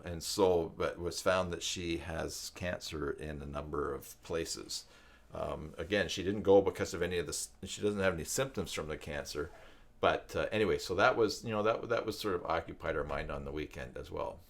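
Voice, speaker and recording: An older man hosting a live stream, chatting casually in a rambling, reflective way with hesitant pauses. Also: sits low. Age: older, with grandchildren.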